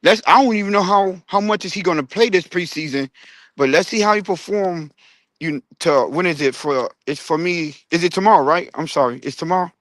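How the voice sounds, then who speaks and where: A man's voice: high-pitched, a little fast, fairly bright tone, no echo. A man hosting a casual online sports chat who rambles with many restarts and asks his co-hosts questions. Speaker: podcast host. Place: online audio room.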